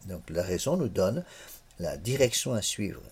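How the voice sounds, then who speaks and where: A man's voice: deep voice, sing-song, a little slow, husky. A man in his sixties giving a classroom lecture on religious morals, often stumbling and repeating small words before going on, with the odd cough. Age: 60s.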